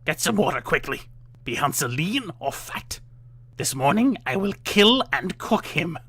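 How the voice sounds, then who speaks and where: A man voicing a wicked old witch from a storybook, with a theatrical, menacing, drawn-out delivery. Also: on the high side, sing-song, sharp tone, slightly rough, no room sound, voice pushed up, exaggerated voice. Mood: furious, impatient. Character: wicked old witch, cajoling then cruel and impatient. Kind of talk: dramatized fairytale storybook reading.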